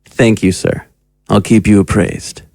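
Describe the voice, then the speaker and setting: A man's voice: on the low side, steady tone, a little slow, vocal fry, darkish tone, dry recording. An actor playing a calculating male agent in an audio drama, with a businesslike, clipped manner. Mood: businesslike, scheming. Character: crisp, calculating male agent reporting to a superior.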